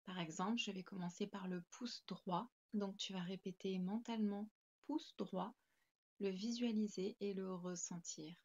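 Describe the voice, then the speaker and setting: A woman guides a meditation at a slow pace with a gentle, calming delivery. Her pitch sits in an ordinary middle range for a woman. The recording is a little dull and lo-fi, and her instructions come in short, spaced phrases. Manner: calm, soothing, gentle. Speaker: yoga nidra guide.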